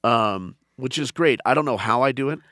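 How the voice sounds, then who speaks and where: Animated male comedian, dry close mic. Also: mid-range voice, normal speed, no room sound. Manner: animated storytelling, acts out crowd voices. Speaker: comedian and podcast host. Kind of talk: casual chat. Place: podcast studio.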